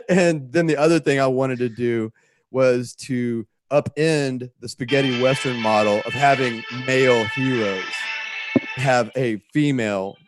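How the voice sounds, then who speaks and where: A man's voice: medium pitch, a little slow, dry recording, faint music behind. A man speaking as a guest writer in an interview, telling a story in a rambling, conversational way.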